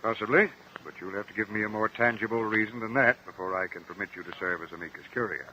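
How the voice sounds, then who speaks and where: An actor playing a stern, fair-minded judge, speaking formally and with authority in a radio courtroom drama. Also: male voice, on the low side, fast talker, pushed and bright. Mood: judicious. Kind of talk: old-time radio courtroom drama acting. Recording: dull, noisy old radio recording.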